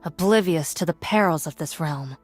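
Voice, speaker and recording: An actress playing a stern, imperious immortal warrior in a fantasy game, speaking in a formal, lofty, commanding way. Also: female voice, deep voice, normal speed, dry recording, faint music behind. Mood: stern, scornful. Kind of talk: dramatic game character voice lines.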